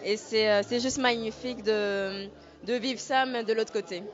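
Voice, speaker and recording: Calm woman pageant runner-up. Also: a little high, moderate pace, crowd noise. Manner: calm, short answers, some fillers. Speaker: former pageant runner-up, guest. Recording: muffled lo-fi event audio.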